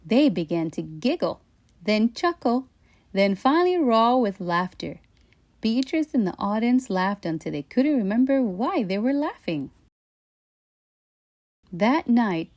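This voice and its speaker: A woman reading a children's bedtime story aloud in an expressive narrating style. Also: mid-range voice, dry recording.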